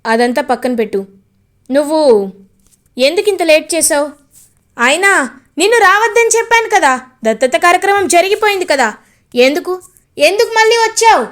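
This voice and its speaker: A woman voicing an indignant young man who scolds loudly, retelling a family drama aloud. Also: sits high, expressive, normal speed, long pauses. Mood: annoyed. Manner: raised, scolding delivery. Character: indignant young man, protective older brother.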